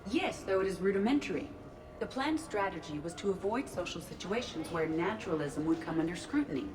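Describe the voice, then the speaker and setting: A woman's voice: lowish voice, expressive, fairly quick, music in the background, close to an everyday voice. An actress playing a composed, literal-minded female android in a video game, speaking precisely and formally. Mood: matter-of-fact. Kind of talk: video game character acting.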